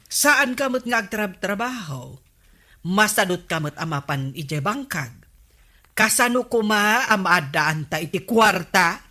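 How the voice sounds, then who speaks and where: A village captain's nagging wife in Ilocano radio comedy. The voice is medium in pitch, bright and forceful. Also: female voice, animated, measured pace.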